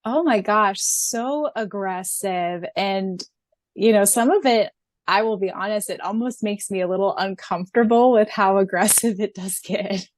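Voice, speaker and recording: A woman's voice: medium pitch, measured pace, no room sound, studio quality. A woman co-hosting a podcast, talking casually and emphatically as a fan in a chatty, informal way. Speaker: podcast co-host and audiobook voice performer.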